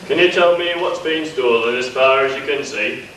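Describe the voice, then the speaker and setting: Man as bright, forceful pantomime policeman. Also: mid-range voice, moderate pace, close to an everyday voice. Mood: businesslike. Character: police officer questioning theft victims. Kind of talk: amateur pantomime stage acting. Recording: echoey hall, distant mic, faint hiss.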